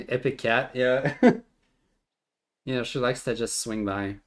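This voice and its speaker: A man's voice: medium pitch, takes it slowly. A streamer whose first language is French, chatting casually and laughing easily while he plays. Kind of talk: casual gameplay chat.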